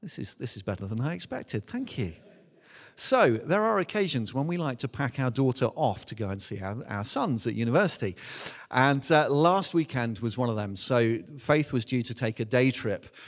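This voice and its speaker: Middle aged guy giving a chatty sermon, mid pitched voice, big echoey hall. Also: normal speed. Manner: chatty, self-deprecating, animated retelling with acted asides. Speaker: Baptist minister preaching. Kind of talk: anecdotal sermon, informal storytelling. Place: large indoor church hall. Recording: reverberant hall, live church talk.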